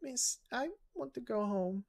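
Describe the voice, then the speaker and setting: Woman voicing a high, timid frightened child. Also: animated, unhurried, a little mellow, a little hiss or hum. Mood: scared, pleading. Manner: stammering, pleading. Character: frightened small child hiding from hunters, pleading. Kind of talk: game story voice acting.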